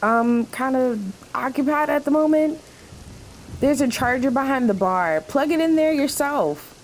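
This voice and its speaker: A woman's voice: high voice, animated, a little slow, a little hiss or hum. A woman playing a harried, sarcastic night-shift waitress in a radio play, curt but placating with the boss. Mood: curt, irritated.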